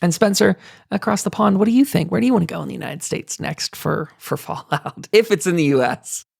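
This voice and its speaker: A podcast host with a creaky, mid-pitched voice moderates a casual gaming roundtable. The delivery rambles as the thoughts form, in a dry, close recording. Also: fairly quick, darkish tone, no echo. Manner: rambling, thinking aloud. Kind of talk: casual roundtable discussion of video games.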